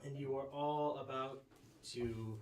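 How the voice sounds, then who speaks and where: A man's voice: mid-range voice, steady tone, takes it slowly, darkish tone. A man playing at a tabletop game, chatting playfully and sounding unsure. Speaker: player. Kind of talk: casual chat at a tabletop game. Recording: roomy, distant from the mic, faint hiss.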